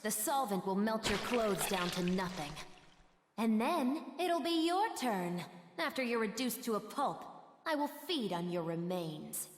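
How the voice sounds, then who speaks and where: A cruel demoness from an anime game. She gloats in a silky, menacing, highish voice that rises and falls widely, echoing with faint noise behind. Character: cruel, taunting female spider demon.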